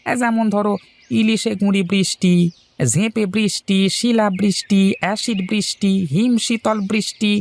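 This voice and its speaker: Creaky, scornful village know-it-all played by an actor. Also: medium pitch, fairly even intonation, normal speed, natural voice. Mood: explaining. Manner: lecturing, dismissive, pedantic. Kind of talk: cartoon dialogue.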